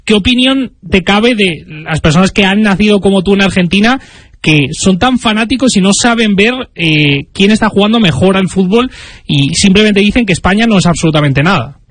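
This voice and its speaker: A man interviewing a guest, asking long, deliberate questions. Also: sits high, lively intonation, dry recording.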